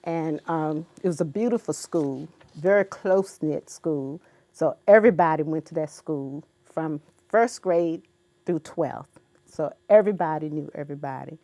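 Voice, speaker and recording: A woman in her seventies from Virginia, a guest in an interview, speaking in an unhurried, reflective way with many ums and uhs. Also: low-pitched, mellow, no room sound. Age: 70s. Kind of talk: recorded interview.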